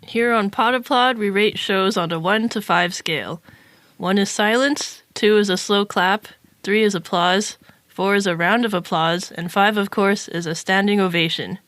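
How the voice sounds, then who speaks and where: A woman's voice: medium pitch, normal speed, fairly bright tone, dry recording. A woman co-hosting a podcast, reading out a prepared review in a scripted style.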